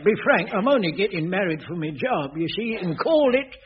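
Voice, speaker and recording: A man's voice: high voice, big pitch swings, fairly quick, husky, exaggerated voice. An actor playing a bumbling, easily flustered caretaker in his forties in a radio sitcom. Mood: awkward. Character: fussy, flustered caretaker man, mid-forties. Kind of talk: 1980s radio sitcom acting. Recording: dull, hissy old radio broadcast.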